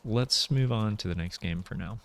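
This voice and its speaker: A man's voice: fairly low pitch, normal speed, vocal fry. A man giving casual gameplay commentary, thinking aloud in a hesitant, musing way. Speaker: video game player and commentator. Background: music.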